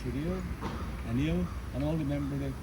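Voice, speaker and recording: A man from Guyana giving an informal speech of thanks in deliberate, broken phrases with pauses. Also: a little high. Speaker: speaker giving a vote of thanks. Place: live ceremony at a statue. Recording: live event with vehicle noise.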